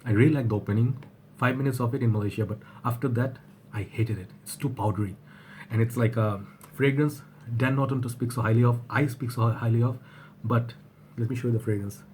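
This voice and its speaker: A man with a flu-husky voice, talking casually to his viewers and often rambling and restarting. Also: mid-range voice, steady tone, fairly quick, a little mellow, a little hiss or hum. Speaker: fragrance reviewer. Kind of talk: casual vlog talk to camera.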